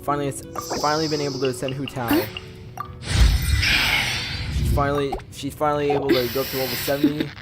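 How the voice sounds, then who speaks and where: A man's voice: mid-range voice, little pitch movement, quick pace, long pauses. Rambling young male streamer over game music. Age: teenager or young adult. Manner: rambling, repeats himself. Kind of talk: casual live gameplay commentary. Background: game music and sound effects.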